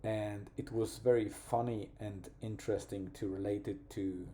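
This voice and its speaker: A man with a Polish accent, a native Polish speaker, talking reflectively in a personal vlog, slow and thoughtful, pausing to find words. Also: fairly low pitch, fairly even intonation, warm tone, a little hiss or hum. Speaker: vlogger.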